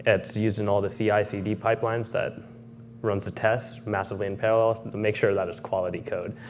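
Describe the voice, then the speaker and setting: A man's voice: lowish voice, little pitch movement, a little fast, slight background noise. A young man giving an informal conference presentation as a technical speaker. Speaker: conference speaker, solutions architect. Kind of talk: informal self-introduction on stage.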